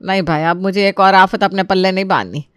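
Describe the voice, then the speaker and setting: A woman's voice: medium pitch, fairly even intonation, brisk, clear, resonant tone, dry recording, studio quality, natural voice. A woman voicing a theatrical, exasperated middle-aged Indian mother-in-law in a cartoon story. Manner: exasperated, dramatic, scolding.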